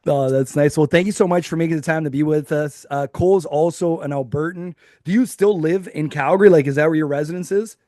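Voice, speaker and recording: A man's voice: a little high, dry recording, studio quality. A man hosting a podcast interview, gushing and enthusiastic. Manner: excitable, gushing, fast. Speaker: podcast host.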